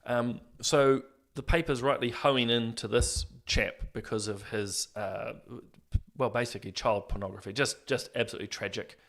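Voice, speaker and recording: A man hosting an opinion commentary show, earnest and morally indignant, rambling with fillers and going a little hoarse. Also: medium pitch, steady tone, moderate pace, fairly bright tone. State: losing his voice. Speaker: host.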